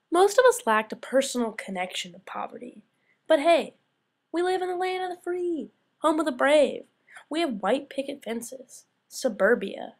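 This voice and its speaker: Earnest young woman narrating a documentary. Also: fairly high pitch. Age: young adult. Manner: earnest, questioning. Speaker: student narrator. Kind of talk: scripted documentary voice-over.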